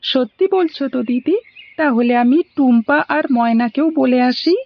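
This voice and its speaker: Woman as an eager, high-voiced cartoon schoolboy. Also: measured pace. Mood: eager, explaining. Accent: Bangladeshi. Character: cheerful, eager schoolboy, a helpful little brother. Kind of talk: animated cartoon dialogue. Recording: dull lo-fi audio.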